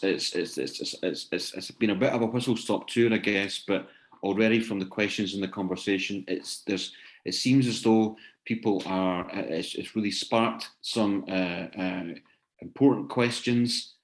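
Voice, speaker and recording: A man's voice: fairly low pitch, steady tone, unhurried. A man hosting an online seminar informally, hesitant and often repeating words as he searches for his phrasing. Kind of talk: informal spoken closing remarks to attendees. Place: online video session.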